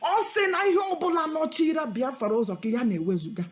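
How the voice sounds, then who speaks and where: High-voiced Nigerian Igbo woman preaching fervently. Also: big pitch swings, fast talker. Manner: emphatic, repetitive, declamatory; switches between Igbo, English and Pidgin. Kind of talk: fervent prophetic sermon and prayer. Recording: dull lo-fi audio.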